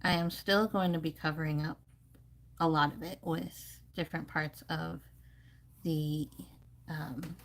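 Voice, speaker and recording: Low, creaky-voiced woman talking while painting. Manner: rambling, thinking aloud with hedging. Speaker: art streamer teaching painting. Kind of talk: casual live painting commentary.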